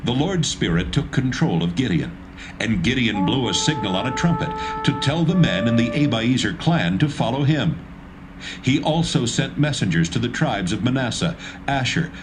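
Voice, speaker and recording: A man narrating a dramatised audio Bible in a measured, even storytelling manner. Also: medium pitch, background noise. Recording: music bed under narration.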